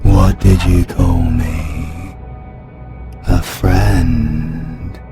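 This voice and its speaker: A British man voicing the cold, menacing dark side of a possessed demon hunter in a fantasy audio drama. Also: flat delivery, heavily acted. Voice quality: gravelly, very deep. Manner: slow, cold, ominous pronouncements. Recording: music underneath.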